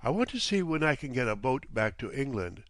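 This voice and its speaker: A man voicing a polite but irritable young American gentleman in an audiobook, formal, flustered and curt. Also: medium pitch, sing-song, fairly quick, dry recording, studio quality. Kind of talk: audiobook character voice.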